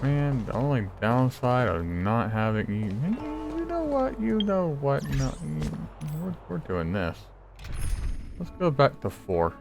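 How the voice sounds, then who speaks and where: A man's voice: medium pitch, wildly swooping pitch, takes it slowly, creaky. A man giving casual gameplay commentary, joking and muttering to himself as he plays. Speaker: gamer narrating own playthrough. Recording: game music behind commentary.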